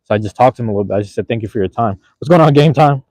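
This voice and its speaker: A man's voice: medium pitch, animated, rapid-fire, darkish tone, no room sound. A man livestreaming outdoors in light rain, chatting casually with viewers on his phone in a slangy, rambling way. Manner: laid-back, slangy, repeats himself. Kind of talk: casual rambling live chat. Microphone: phone camera.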